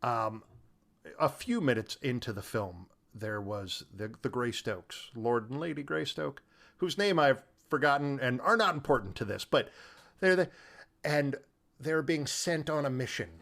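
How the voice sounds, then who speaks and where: A man with a mid-pitched voice talks as a podcast co-host in casual film discussion. His delivery is animated and halting, often breaking off into informal asides before picking the thread back up. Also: vocal fry.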